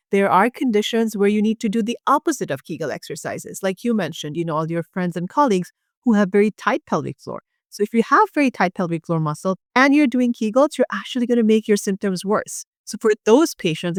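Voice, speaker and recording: A woman from Bangladesh speaks as a guest specialist, earnest and passionate, explaining medical matters in everyday terms and leaning on fillers as she goes. Her voice sits at a middle pitch and the recording is close and dry. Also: no room sound. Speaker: urogynecologist guest.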